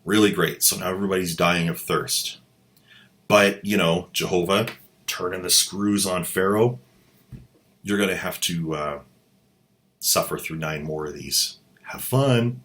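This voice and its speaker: A man's voice: low-pitched, a little slow, fairly bright tone. A man hosting a comedic commentary with a sarcastic, mocking manner. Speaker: host.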